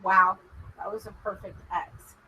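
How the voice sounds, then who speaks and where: Slow-talking Texan woman, bright voice. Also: medium pitch, little pitch movement, highs cut off, a little hiss or hum. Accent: Texas. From Texas, USA. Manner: chatty and rambling, drawling, addresses viewers as "y'all". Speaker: psychic medium livestream host. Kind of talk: casual livestream chat with viewers.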